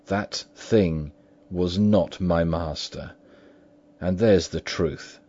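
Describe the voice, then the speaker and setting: A man's voice: sits low, steady tone, extremely slow, mellow, heavily acted. A man voicing a frightened, loyal old butler who speaks urgently and pleads emphatically. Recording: dull lo-fi recording.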